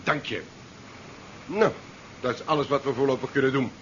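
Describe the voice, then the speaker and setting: An actor playing a curt, sardonic ship commander in a 1970s science fiction radio play. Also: male voice, medium pitch, normal speed, fairly bright tone, close to an everyday voice. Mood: tense, bitter. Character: curt, sardonic male ship commander worried about career. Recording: muffled 1970s radio drama recording, faint noise.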